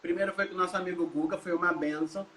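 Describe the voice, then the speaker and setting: A woman hosting a casual interview over a video call, friendly and quick with exclamations. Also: lowish voice, steady tone, fairly bright tone. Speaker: host. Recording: hissy, echoey room.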